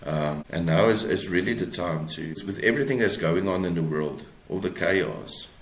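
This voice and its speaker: Deep-voiced South African man, roomy sound. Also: lively intonation, vocal fry, a little hiss or hum. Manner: halting, repeats words as he searches for phrasing. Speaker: guest author. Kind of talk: reflective interview talk.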